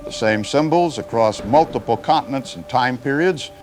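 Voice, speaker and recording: A man playing a serious, probing news anchor in an audio drama broadcast, measured and formal with a concerned manner. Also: medium pitch, expressive, noisy background, natural voice.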